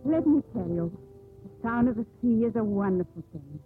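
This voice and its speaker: A woman's voice: medium pitch, lively intonation, normal speed, phone-quality sound, soft background music, close to an everyday voice. An actress plays a determined young woman of the 1840s who longs for the sea. She speaks with poetic, insistent conviction in old radio drama style. The recording is thin and band-limited, like a vintage broadcast. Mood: lyrical narration. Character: headstrong young sea captain's daughter of 1845. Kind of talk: 1950s radio drama acting.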